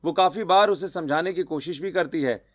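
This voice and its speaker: A man's voice: fairly high pitch, moderate pace. A man narrating a scripted cartoon story.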